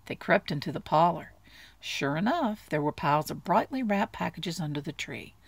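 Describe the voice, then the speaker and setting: Expressive woman storyteller with creaky voice. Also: on the low side, moderate pace, no room sound. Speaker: storybook reader. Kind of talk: expressive bedtime story reading.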